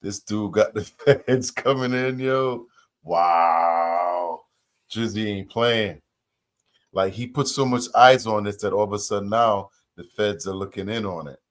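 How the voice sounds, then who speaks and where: A man's voice: on the low side, unhurried. A man hosting a reaction video in casual, slangy chat, repeating phrases for emphasis.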